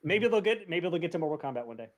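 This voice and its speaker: Lively, higher-voiced male podcast panelist. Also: very fast. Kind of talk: casual chat.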